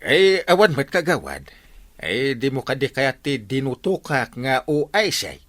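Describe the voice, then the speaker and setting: Wildly swooping, bumbling village captain. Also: male voice, medium pitch, very animated, unhurried, fairly bright tone, dry recording. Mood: flustered, evasive. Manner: stammering, hedging, comic. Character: hesitant, bumbling comic barangay captain. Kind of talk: Ilocano radio-style comedy drama acting.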